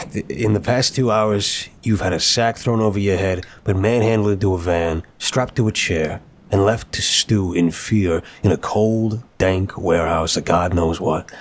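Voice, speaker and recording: A man's voice: deep voice, normal speed. A man acting as an apologetic, charming mafia boss in a scripted audio roleplay, talking in wry, conversational gangster slang. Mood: amused, charmed.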